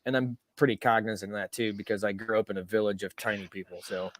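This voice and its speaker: A man's voice: on the low side, steady tone, fast, a bit bright, a little hiss or hum. A man at a tabletop game, explaining himself casually with a lot of stammering repeats. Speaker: player. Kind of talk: casual in-character table talk.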